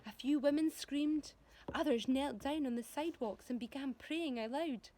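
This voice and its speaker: A woman reading a storybook aloud to children as a teacher would, animated and expressive, speaking directly to them. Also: high-pitched, normal speed. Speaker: primary school teacher reading to pupils.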